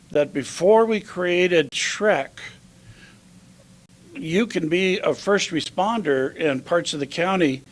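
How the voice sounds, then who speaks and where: Male civic official, slightly high-pitched, deliberate phrasing, addressing a press announcement. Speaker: county commissioner. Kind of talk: public speech at a press announcement.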